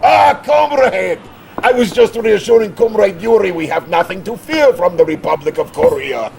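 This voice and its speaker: A man's voice: high-pitched, lively intonation, normal speed, gravelly, crowd noise. A man playing a jovial, dithering older Soviet premier with a Russian accent, theatrical and hesitant, in a video game cutscene.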